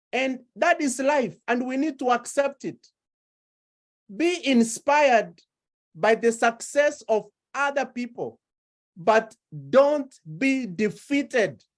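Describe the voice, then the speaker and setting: A woman delivers a sermon at a slow, measured pace. Her voice sits a little high, and she stacks repeated phrases to build each point. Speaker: preacher.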